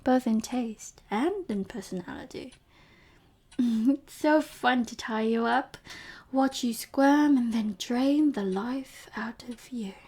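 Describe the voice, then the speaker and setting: Woman acting breathy, slow-talking seductive vampire professor. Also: sits high, exaggerated voice. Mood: teasing, predatory. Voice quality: breathy, often dropping to a whisper. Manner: slow, sultry, teasing, softly commanding. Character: seductive, possessive female vampire professor, predatory and teasing. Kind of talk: intimate ASMR audio roleplay.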